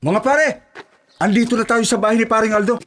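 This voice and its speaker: A man's voice: sits high, fairly even intonation, a little fast, a bit bright, a little hiss or hum. A man playing a boisterous, joking best buddy in a horror audio drama, teasing and bantering. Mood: jovial, teasing.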